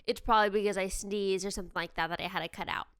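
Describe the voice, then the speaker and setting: A woman's voice: medium pitch, fairly even intonation, racing, fairly bright tone, clean tone, no room sound, studio quality. A young woman, nasal from a cold, chatting loosely through a game voice-over, rambling and correcting herself. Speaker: YouTube host. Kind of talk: casual game commentary voice-over.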